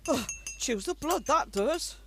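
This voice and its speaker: Higher-pitched, fretful wartime grandmother acted by a woman. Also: expressive, slow pace, a little hiss or hum, exaggerated voice. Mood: fearful. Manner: motherly, fretful, earnest. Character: anxious, caring older mother and grandmother in wartime. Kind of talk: amateur radio drama acting.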